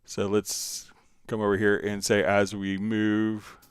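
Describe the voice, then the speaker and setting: A man hosting a livestream tutorial, answering viewer questions in a casual, halting, rambling way. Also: fairly low pitch, monotone, clean tone. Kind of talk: unscripted software tutorial, casual explaining.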